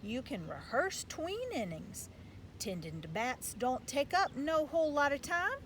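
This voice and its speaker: A woman plays a grumbling, bossy father from a storybook, folksy and blustering. The pitch sits on the high side, and there is clear noise behind the words. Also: very animated, unhurried, fairly bright tone, exaggerated voice. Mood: blustering, persuasive. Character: gruff grumbling father, manager of a losing baseball team. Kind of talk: storybook read-aloud.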